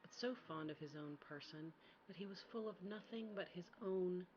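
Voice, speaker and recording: A woman softly reading a fairy tale as a quiet ASMR bedtime storyteller. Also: on the low side, moderate pace, dull audio, heavy background noise. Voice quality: soft, quiet.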